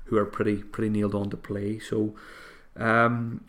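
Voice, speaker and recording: A man hosting a solo podcast, thinking aloud with frequent restarts, his voice going hoarse. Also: fairly low pitch, little pitch movement, normal speed, fairly bright tone. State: voice getting hoarse. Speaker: podcast host. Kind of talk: solo podcast advice talk.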